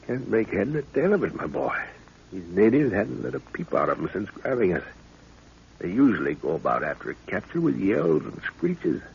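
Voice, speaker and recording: A man acting a big, gruff, blustering middle-aged professor in a 1930s radio adventure. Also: fairly low pitch, very animated, moderate pace, darkish tone, slight background noise. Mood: grumbling concern. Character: huge, gruff, hot-tempered older professor leading a jungle expedition. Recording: muffled old 1930s radio recording.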